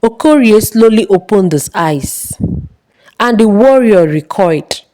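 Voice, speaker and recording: A woman narrating a dramatic folktale in a solemn, suspenseful and measured way. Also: medium pitch, animated, warm tone. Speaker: storyteller.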